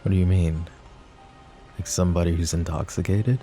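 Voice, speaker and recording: A man playing a possessive young adult mafia boss in an ASMR roleplay, speaking low and measured to an unheard listener. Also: steady tone, warm tone, faint music behind, close to an everyday voice. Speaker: voice actor.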